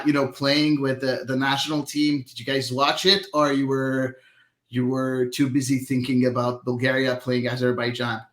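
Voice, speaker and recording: A man hosting an interview, asking questions in a brisk, casual way. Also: mid-range voice, fairly bright tone. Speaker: host. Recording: echoey room.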